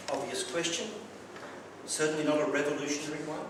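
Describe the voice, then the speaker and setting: A man's voice: on the high side, normal speed. Australian man telling stories in an echoey hall. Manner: anecdotal, wry humour, occasional stumbling repeats. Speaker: club speaker. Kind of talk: prepared personal speech. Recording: very echoey room, distant mic, clear background noise.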